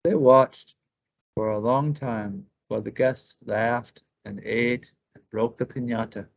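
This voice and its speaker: A man narrating a children's picture book aloud, expressive and deliberate. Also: mid-range voice, vocal fry. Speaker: storybook reader. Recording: slightly dull lo-fi recording.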